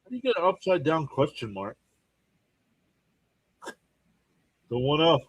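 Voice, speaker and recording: A man's voice: a little high, big pitch swings, slow, slightly rough. A man hosting a live baseball stream, mixing clipped play-by-play calls with informal fan asides and chat to viewers. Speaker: livestream play-by-play host and fan.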